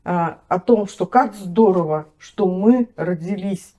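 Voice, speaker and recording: A woman's voice: on the low side, takes it slowly, dark tone. A woman taking part in a radio discussion, speaking in an emotional, exclamatory way.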